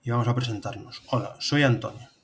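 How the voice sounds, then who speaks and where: A man's voice: medium pitch, fairly quick, gravelly, slightly rough. A man in his thirties with an accent from Spain, giving step-by-step tutorial narration as an instructor. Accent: Spanish from Spain. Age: 30s. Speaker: programming instructor. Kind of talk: tutorial narration over screen demonstration.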